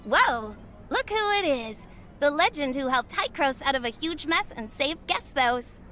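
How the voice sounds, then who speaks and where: Bright, bubbly anime girl. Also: high-pitched, big pitch swings, measured pace, slight background noise, heavily acted. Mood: admiring. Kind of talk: anime game voice acting.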